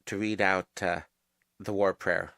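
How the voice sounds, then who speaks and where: A man co-hosting a fan podcast in a loose, chatty way. His voice is low yet bright and forward, with a dry, close sound and no room around it. He likes to explain details patiently. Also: flat delivery, a little slow, no echo. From Mexican-American family. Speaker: podcast co-host. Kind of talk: casual chat.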